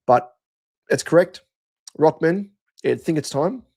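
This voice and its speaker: An Australian man with a croaky voice, hosting a fan show and giving casual, rambling post-match commentary with hesitant pauses and repeated phrases. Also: a little high, lively intonation, a little mellow. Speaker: host of a football fan show.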